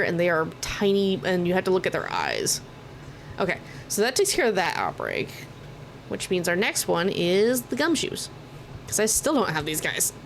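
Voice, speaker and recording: An American woman rambling casually and informally as she livestreams a video game, sounding a bit tired. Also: on the low side. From Oklahoma, United States. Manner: rambling, informal, occasional swearing. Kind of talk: casual chatty gaming stream commentary. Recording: noticeable background noise behind the mic.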